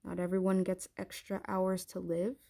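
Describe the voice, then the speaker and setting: A woman playing a haughty, menacing dragon queen in an intimate ASMR roleplay, speaking in an imperious, taunting, deliberate way. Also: mid-range voice, completely flat delivery, gravelly, mellow. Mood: coolly merciful.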